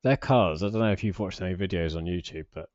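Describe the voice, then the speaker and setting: A British man in his forties with a low voice talks casually through a screen share. He rambles and hesitates, often putting himself down. The recording is slightly dull but quite dry. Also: quick pace, a little mellow, dry recording. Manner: rambling, hesitant, self-deprecating. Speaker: personal finance vlogger.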